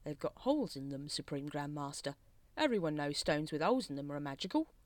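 A woman's voice: low-pitched, very animated, a little fast, dry recording. A simple, meek little cult brother, voiced by a woman, muttering plaintively. The voice is hesitant and close, with a dry sound suited to a storybook. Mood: nervous, uncertain. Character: meek, simple, whining men of a secret brotherhood. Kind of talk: storybook character voice in audiobook.

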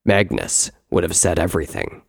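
Male reader as royal stallion, grave and commanding, low pitch, dry close sound, deliberate emphasis, storybook character. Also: sing-song, creaky, no room sound, exaggerated voice. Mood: grave, firm.

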